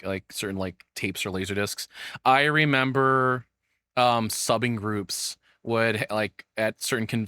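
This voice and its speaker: A man's voice: lowish voice, sharp tone, no echo. A man doing casual, rambling reaction commentary as a streamer, informal, profane and opinionated, speaking into a close microphone.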